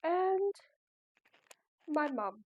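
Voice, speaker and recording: A woman's voice: high-pitched, very animated, very slow, narrow, tinny audio, very noisy. An eighteen-year-old Australian woman chatting casually in a home vlog, rambling with lots of likes and ums. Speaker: crochet vlogger. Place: at home, seated in a chair.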